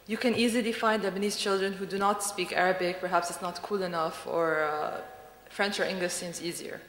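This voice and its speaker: A woman's voice: fairly low pitch, fairly even intonation, fairly quick, fairly bright tone. A woman from Beirut, co-founder of a design studio, presenting at a conference in a thoughtful, hesitant way with many fillers. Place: large conference hall. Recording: echoey hall with faint ambience.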